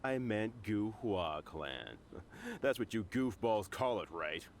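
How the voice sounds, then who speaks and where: A man's voice: on the low side, sing-song, slow, slight background noise, heavily acted. An actor playing a cocky, bullying male thug who mocks and sneers at his opponents. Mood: mocking. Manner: mocking, sneering, laughs at others. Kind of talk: video game character dialogue.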